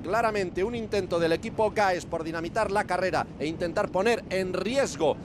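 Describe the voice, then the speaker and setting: Male sports commentator, Spain accent, high voice, race highlights read over clear vehicle and outdoor noise. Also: normal speed. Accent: Spanish (Spain).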